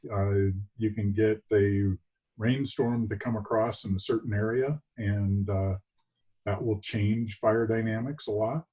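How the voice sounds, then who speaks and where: A man working as a judge, giving unscripted feedback in halting, hesitant phrases. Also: on the low side, fairly even intonation, dark tone.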